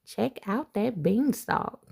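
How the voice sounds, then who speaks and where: Woman reading a kids' book out loud, slow and bouncy, high-ish voice with a bit of crackle. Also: vocal fry, breathy edge. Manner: expressive storybook reading with playful asides. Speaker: assistant principal reading aloud. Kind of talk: children's picture book read-aloud.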